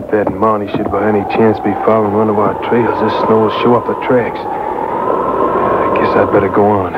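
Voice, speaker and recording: An actor playing a wary, ruthless outlaw rider muttering plans to himself in an old-time radio drama. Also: male voice, little pitch movement, normal speed, lightly acted. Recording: noisy muffled boombox taping of AM radio.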